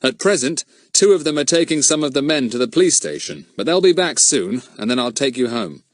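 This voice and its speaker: A man reading a storybook voicing a brisk, authoritative police chief inspector. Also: mid-range voice, big pitch swings, dry recording, studio quality, pitched above the performer's own voice, close to an everyday voice. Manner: stentorian, brisk.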